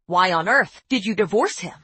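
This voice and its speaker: A middle-aged mother whose voice swings widely as she scolds in outrage. The sound is slightly husky, close and dry. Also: medium pitch, animated, moderate pace, bright, forceful tone, dry recording. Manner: emotional, indignant scolding.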